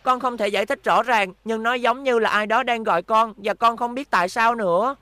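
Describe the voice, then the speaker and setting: A woman's voice: fairly high pitch, brisk, pushed and bright, no echo. A woman voicing a brave, kind young robot boy hero in a cartoon dub, earnest and determined. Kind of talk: cartoon dubbing.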